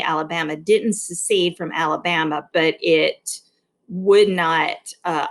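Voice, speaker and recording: A woman narrating a read-along novel with expressive, deliberate phrasing and an informal, explanatory touch. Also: low-pitched, gravelly. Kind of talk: reading a novel aloud with informal explanatory asides.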